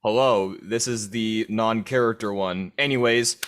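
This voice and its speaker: A man's voice: fairly low pitch, fairly even intonation, a little slow, a bit bright, clear, resonant tone. A man who does voice acting, chatting casually in a rambling way. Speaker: amateur voice actor. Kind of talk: casual chat.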